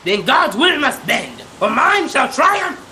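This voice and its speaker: Woman acting a wildly animated, tyrannical sea captain. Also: high-pitched, takes it slowly, exaggerated voice. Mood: raging, defiant. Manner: commanding, archaic seafaring declamation. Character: defiant, tyrannical male ship's captain, mad with pride. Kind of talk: old-time radio drama acting. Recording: loud storm noise behind.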